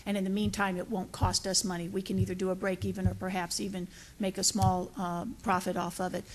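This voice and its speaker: A woman testifies before a legislative committee. Her voice sits at an average pitch, and she lays out details in a semi-formal, explanatory style.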